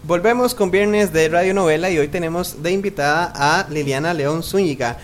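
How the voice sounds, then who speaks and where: Radio host, man, somewhat high voice, casual interviewing with hesitant phrasing. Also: faint music behind. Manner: halting, searching for words. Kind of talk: conversational interview.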